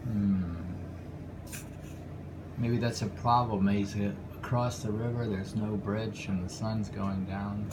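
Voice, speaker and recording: A man reading haiku aloud with casual commentary, in an unhurried, musing way with pauses between phrases. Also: fairly low pitch, flat delivery. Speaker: poetry reader and commentator. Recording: clearly noisy home recording with ambience.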